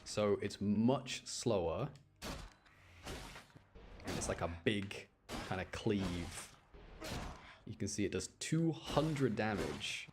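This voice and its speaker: A man's voice: lowish voice, lively intonation, slow pace, pauses often, noisy background. A man giving casual gaming commentary on a livestream, thinking aloud in an informal way. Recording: game music behind the voice. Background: video game music.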